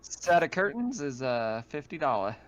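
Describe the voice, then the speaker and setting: A man's voice: fairly high pitch, very animated, moderate pace, slight background noise. A man playing a stubborn colony shopkeeper who haggles over prices in an improvised tabletop roleplaying game. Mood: bargaining. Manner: haggling, bargaining sales talk. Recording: muffled online voice call.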